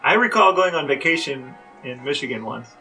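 A man's voice: mid-range voice, lively intonation, brisk, bright, forceful tone, recorded from a distance, soft background music, low-quality recording. A man co-hosting a casual podcast, explaining things and asking questions. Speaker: podcast co-host, interviewer.

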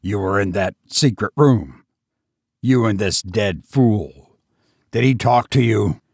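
A man's voice: on the low side, slow, a little mellow, husky, dry recording, clean studio sound, full character voice. A man voicing an insane, murderous tavern host who escaped from prison, roaring and laughing madly. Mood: menacing. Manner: roaring, mad mirthless laughter.

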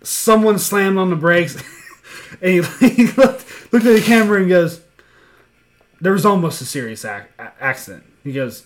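A man's voice: sits high, animated, moderate pace. A man in his thirties co-hosting a podcast in casual chat, laid-back and chuckling, swearing casually. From United States. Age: 30s. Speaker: podcast co-host.

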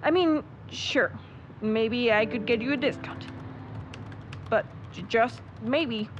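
A woman's voice: a little high, slow pace. A woman acting in an audio drama as a snarky, sleepy young photographer, sardonic and given to flustered hedging. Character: snarky, sleepy young photographer called Daniel, grudgingly friendly. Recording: dull audio under loud vehicle noise.

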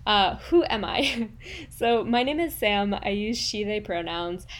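A woman from Quebec whose first language is French, hosting her podcast and telling her own story in a relaxed, personal way with fillers. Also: medium pitch, unhurried. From Quebec, Canada. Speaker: podcast host. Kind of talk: casual solo podcast monologue.

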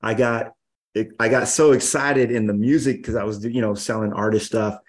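A man in his fifties, a guest on a podcast, telling stories in a relaxed, rambling way with many fillers. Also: fairly low pitch, moderate pace. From Los Angeles area, USA. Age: 50s. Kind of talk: casual storytelling interview.

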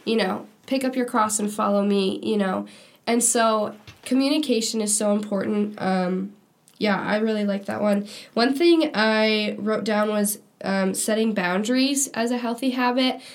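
A woman's voice: mid-range voice, moderate pace. A young woman co-hosting a casual faith-based podcast, sounding nasal from a cold and talking in a chatty way full of "like" and "um". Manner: chatty, lots of "like" and "um". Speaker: podcast co-host.

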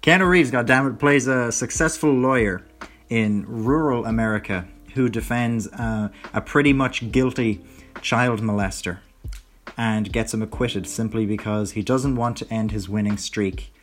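Young male podcast host, hoarse, chatty and rambling, mid-range voice, light music bed. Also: medium pitch, a little slow, fairly bright tone. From Ireland. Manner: rambling, self-correcting, chatty. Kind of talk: casual solo podcast chat.

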